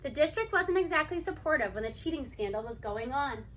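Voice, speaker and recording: A sympathetic woman principal from a children's novel, read by a woman narrator. She reasons earnestly and persuasively, quick to defend. Her voice is high with a bright edge. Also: animated, slight background noise. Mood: earnest defense.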